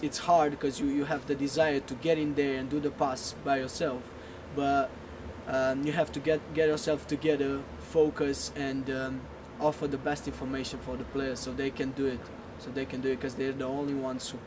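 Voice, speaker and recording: A man in his twenties with a Romanian accent answering interview questions in a halting way, often repeating words. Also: little pitch movement. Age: 20s. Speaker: assistant football coach being interviewed. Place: outdoors in front of bushes. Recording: noisy outdoor recording with vehicle rumble.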